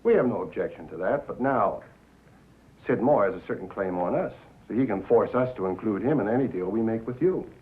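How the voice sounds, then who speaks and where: Low-voiced, calculating executive played by an actor. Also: male voice, expressive, narrow, tinny audio, close to an everyday voice. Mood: scheming. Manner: deliberate, hesitant pauses, condescending. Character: smooth, calculating male network president, paternal and scheming. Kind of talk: 1950s American film drama acting. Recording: thin, boxy old film soundtrack.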